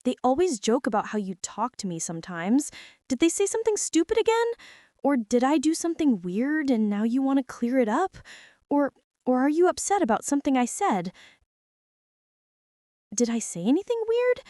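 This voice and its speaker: A shy, awkward schoolgirl played by a woman speaks in a high, soft, mellow voice for an ASMR roleplay. She is nervous and full of self-doubt, halting and rambling as she goes. The sound is close and very dry. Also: big pitch swings, dry recording. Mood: anxious, panicky. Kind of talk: girlfriend ASMR roleplay acting.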